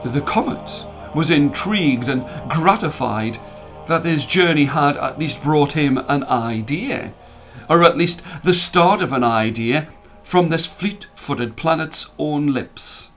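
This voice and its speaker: A man reading a story as a storyteller, expressive and dramatic, drawing out the ends of phrases. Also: fairly high pitch, slow, soft background music. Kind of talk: expressive scripted story narration.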